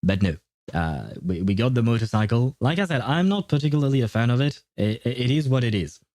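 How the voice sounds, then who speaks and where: A man's voice: mid-range voice, lively intonation, a little fast, darkish tone, no echo, studio quality. A man chatting casually as a game livestream host, rambling and opinionated. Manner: rambling, opinionated, often chuckling. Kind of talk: casual gaming livestream chat.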